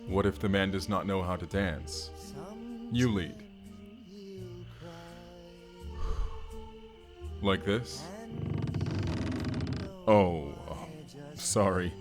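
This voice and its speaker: An actor playing a hulking, earnest, gentle barbarian man in an audio roleplay, speaking formally and haltingly. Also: sits low, over music. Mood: shy, earnest. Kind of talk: first-person audio roleplay acting.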